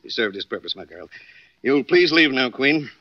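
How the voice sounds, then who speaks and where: A man's voice: mid-range voice, big pitch swings, moderate pace, no room sound, natural voice. A man acting in a 1940s radio drama as a rude, fussy, domineering rich man who barks and sneers. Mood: smug. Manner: blustering, barking orders, sneering. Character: rude, imperious, fussy rich male diamond owner. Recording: dull old 1940s radio recording.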